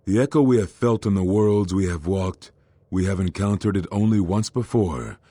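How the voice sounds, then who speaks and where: A man playing a somber, reflective Jedi master in a video game, with a husky dark voice and deliberate delivery. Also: low voice, no room sound. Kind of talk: video game dramatic acting.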